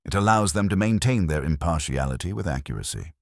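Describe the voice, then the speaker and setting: A man with a very deep voice narrates a cosy mystery story. His delivery is measured and wryly understated, yet the intonation rises and falls with plenty of movement. The sound is dry and free of room echo. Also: expressive. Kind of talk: scripted literary audiobook narration of a cosy mystery.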